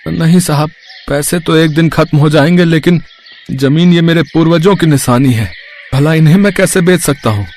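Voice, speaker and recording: A man's voice: fairly high pitch, moderate pace, darkish tone, a little hiss or hum, lightly acted. A man voicing a poor but proud village farmer, a worried husband and father who speaks earnestly in a cartoon story. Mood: respectful refusal. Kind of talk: animated moral story dialogue.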